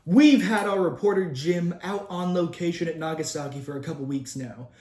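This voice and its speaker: Teen boy as a deadpan newsreel anchor. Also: on the high side, moderate pace, faint music behind. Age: teens. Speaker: news anchor. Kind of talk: mock newsreel hosting. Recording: roomy echoing room.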